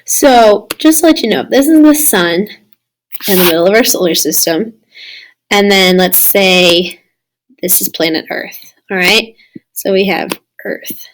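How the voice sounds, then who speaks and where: A woman with a slightly raspy voice, recovering from losing it, giving a casual recorded slideshow lesson as a science teacher. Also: medium pitch, measured pace, pauses often, rough recording. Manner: casual, explanatory, addressing students. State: recovering from lost voice.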